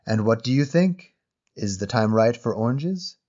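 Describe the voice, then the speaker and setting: A man voicing a kindly, amused, very old male fruit seller with a gentle, teasing, unhurried manner. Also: mid-range voice, animated, muffled recording, natural voice. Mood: gently teasing. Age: elderly. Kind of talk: audio short story character voice.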